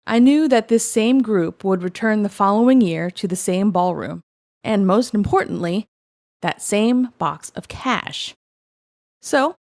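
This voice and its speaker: A woman reading a first-person story aloud in a conversational storytelling style, with dramatic pauses. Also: fairly high pitch, dry recording, studio quality. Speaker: host reading stories aloud.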